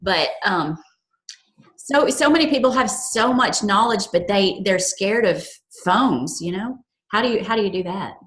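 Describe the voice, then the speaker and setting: A woman with a mid-range voice asks the group for advice, halting and restarting. Her voice rings in a roomy, echoey space. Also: medium pitch, lively intonation, a bit bright. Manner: questioning, halting. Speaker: panel participant. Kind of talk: casual panel discussion. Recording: echoey room.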